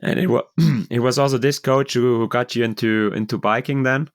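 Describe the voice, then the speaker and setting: Smooth-voiced German-native male host. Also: medium pitch, fairly even intonation. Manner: friendly, halting, many fillers. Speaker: podcast host. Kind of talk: casual interview hosting.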